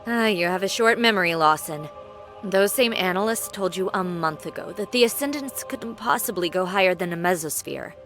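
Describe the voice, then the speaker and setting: A woman's voice: on the low side, lively intonation, normal speed, a bit bright. An actress playing a tough, defiant, hot-tempered military agent in a video game, blunt and sardonic in her delivery. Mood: sardonic, probing. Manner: blunt, challenging, dramatic. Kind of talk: video game cutscene acting. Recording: music under the voice.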